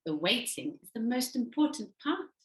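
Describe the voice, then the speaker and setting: A woman voicing a wise, gentle male butterfly in a storybook. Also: fairly high pitch, big pitch swings, normal speed, roomy sound. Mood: reassuring.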